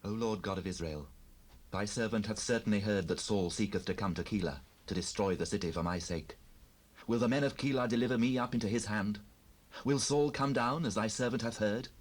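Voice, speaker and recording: Man as low, earnest, devout David. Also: brisk, natural voice. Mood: prayerful pleading. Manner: solemn, earnest declamation. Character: David, earnest, devout fugitive war leader. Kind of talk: dramatized King James Bible recording.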